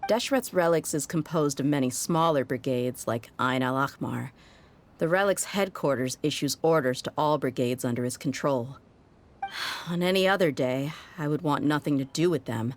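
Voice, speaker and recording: Woman playing a low-voiced, jaded desert mercenary. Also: normal speed, close to an everyday voice. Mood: exasperated. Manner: frank, wry, world-weary. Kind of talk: video game voice acting, story dialogue.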